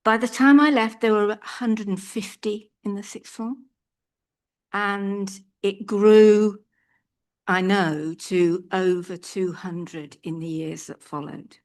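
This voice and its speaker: An older woman giving an earnest, measured statement at a council meeting. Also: mid-range voice, steady tone. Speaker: public speaker at a council meeting. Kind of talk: prepared public statement. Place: council chamber.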